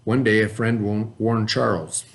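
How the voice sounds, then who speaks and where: A man's voice: lowish voice, steady tone, measured pace, creaky. A man teaching a class, reading a story aloud and stopping to explain words and ask the children questions. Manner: explains and asks the class questions between passages.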